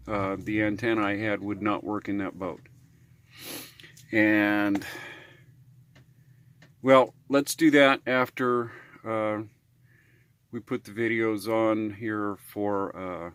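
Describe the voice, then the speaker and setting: A man's voice: lowish voice, steady tone, slow, pauses often, fairly bright tone, clear, resonant tone. An American man vlogging in a casual, unscripted way, talking in a folksy, rambling manner with homespun slang. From United States. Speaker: vlogger on RV living and repairs. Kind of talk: casual unscripted vlog talk.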